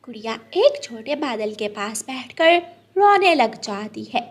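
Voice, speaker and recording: A woman narrates a children's story from a script. Her voice is very high, with lively movement in pitch. She tells it as a storyteller between the characters' lines. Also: unhurried.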